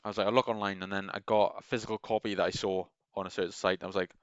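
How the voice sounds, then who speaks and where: A man's voice: low-pitched, flat delivery, very fast, clear, resonant tone, no echo, highs cut off. A man talking in a casual, meandering monologue, enthusiastic and full of restarts. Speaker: gaming video creator.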